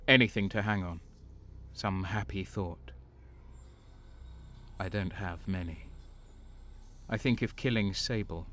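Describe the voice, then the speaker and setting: An actor playing a grim, battle-worn traitor soldier, narrating in terse, intense first person for an audio drama. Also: male voice, fairly low pitch, a bit bright. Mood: weary, bleak.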